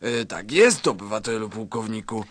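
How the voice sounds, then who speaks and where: A man's voice: fairly low pitch, lively intonation, normal speed, dry recording. An actor playing an obedient, hesitant male lieutenant in a satirical radio sketch. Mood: hesitant, deferential. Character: deferential, hesitant male lieutenant reporting for duty.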